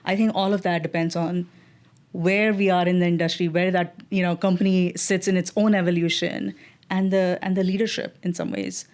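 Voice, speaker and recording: A middle-aged woman with an Indian English accent, a guest on an interview podcast, answering in a measured way with many fillers and restarts. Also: fairly low pitch, level tone, darkish tone. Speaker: guest, design executive.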